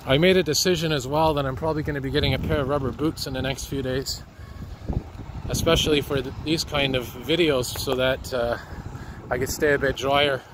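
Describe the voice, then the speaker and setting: A man, slightly hoarse from a cold, narrating as he walks by a flooded river with a handheld camera. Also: mid-range voice, steady tone, fairly quick. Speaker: citizen video reporter. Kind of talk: walking commentary. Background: street and water noise.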